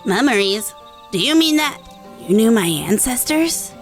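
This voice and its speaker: A woman's voice: fairly high pitch, big pitch swings, a little slow. A woman plays a young, small rodent-folk scholar from a fantasy game. The voice is earnest and courteous, with formal, old-fashioned wording over faint music. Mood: curious. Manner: formal, archaic phrasing. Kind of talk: reading video game dialogue in character.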